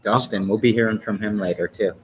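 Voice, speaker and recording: A man's voice: lowish voice, fairly even intonation, brisk, slight background noise. A man in his fifties from Michigan, hosting a casual interview and rambling through his life story with chuckles and self-corrections. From Lansing, Michigan. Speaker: podcast host.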